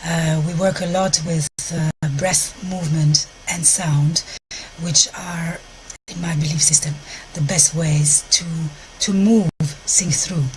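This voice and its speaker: A Belgian woman speaking English with a French accent, hosting a live stream outdoors in a park and pausing often to find her words. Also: low voice, fairly even intonation, slight background noise, low-quality recording. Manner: searches for words, frequent restarts, soft gentle delivery. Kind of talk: live-stream talk to viewers.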